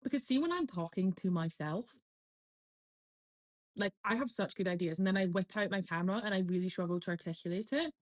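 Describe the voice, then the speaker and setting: A woman's voice: medium pitch, a little fast. A woman in her twenties with a posh Scottish accent, chatting casually and rambling through a vlog. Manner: rambling, chatty, lots of fillers. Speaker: vlogger. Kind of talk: casual talk to camera.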